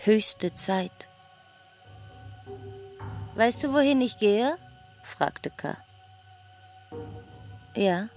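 A woman's voice: a little high, mellow. A woman giving a scripted reading of literary narration and dialogue in a radio drama.

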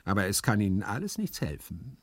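A man's voice: fairly low pitch, sing-song, brisk, no room sound. A man voicing a scrawny, know-it-all but good-natured manservant in a storybook, pert and contradicting. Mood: sullen, knowing. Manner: pert, contradicting, mangles learned words.

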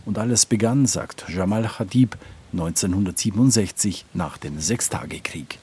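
A male reporter narrating a scripted news feature in a measured, matter-of-fact way. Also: sits low, a little mellow, breathy edge.

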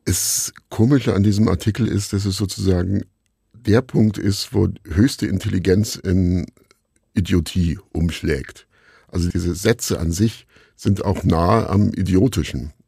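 A middle-aged man being interviewed as a radio drama maker, telling stories in a musing, halting, self-deprecating conversational way. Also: sits low, gravelly, dark tone, slightly rough, dry recording, clean studio sound. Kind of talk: reflective conversational storytelling in an interview.

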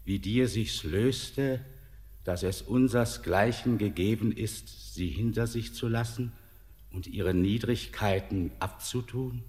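An ancient, ghostly old miner in a classic radio play, a sardonic tempter who drags out every word at a crawl. His voice sits fairly low. It echoes with a long reverb over faint music. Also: a little mellow. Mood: mocking. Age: older. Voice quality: slow, brooding, sardonic. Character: ancient eerie male miner, mocking tempter. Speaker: old mountain spirit-miner Torbern.

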